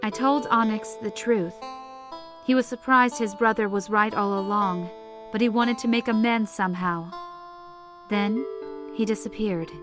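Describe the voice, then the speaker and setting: A woman's voice: mid-range voice, steady tone, measured pace, music in the background, natural voice. A woman playing a caring, worrying but firm motherly wife in an audio drama.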